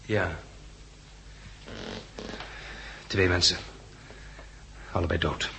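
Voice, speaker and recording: A man's voice: sits low, fairly even intonation, measured pace, gravelly, bright, forceful tone, slight background noise, exaggerated voice, rough recording. An actor playing a practical, dryly joking man surviving a catastrophe in a 1960s radio drama. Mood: grim, subdued. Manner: dry, wry, matter-of-fact.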